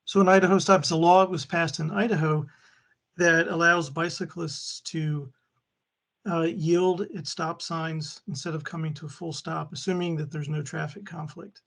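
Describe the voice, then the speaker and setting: A man's voice: a little high, little pitch movement, normal speed, gravelly, fairly bright tone. A man joining a community video call to explain a traffic law in a plain, informative way. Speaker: meeting participant.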